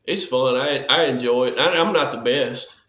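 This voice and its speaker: A man's voice: medium pitch, moderate pace, sharp tone. A man in his forties, a podcast guest, chatting casually in an easygoing, folksy way with frequent restarts. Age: 40s. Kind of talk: casual chat. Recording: echoey room.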